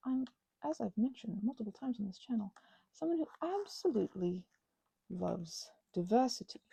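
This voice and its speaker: A woman with a mellow, breathy voice and plenty of creak chats casually in an ASMR craft ramble. Her pitch wanders freely as she drifts between tangents, poking fun at herself and muttering to whatever she is handling. She often sinks toward a near whisper. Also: no room sound, low-quality recording. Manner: self-deprecating, playfully sarcastic, tangent-prone, talks to herself and objects. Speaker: channel host.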